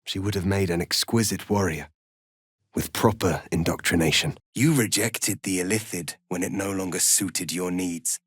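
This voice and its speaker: An actor playing a proud, stern, noble alien rebel leader in a fantasy video game, speaking formally and with deliberate, solemn authority. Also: male voice, sits low, husky, no room sound, studio quality. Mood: grave, reflective. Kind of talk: dramatic fantasy game acting.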